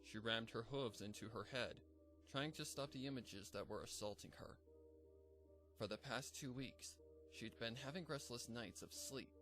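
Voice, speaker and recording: A man narrating a fanfic as a measured, somber scripted reading. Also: medium pitch, music in the background.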